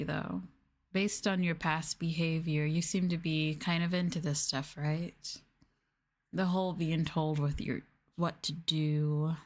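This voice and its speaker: A woman streamer doing a sadistic ASMR-style roleplay, talking down to her listeners in a teasing, mocking way with playful drawn-out emphasis. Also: deep voice. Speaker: VTuber streamer, host.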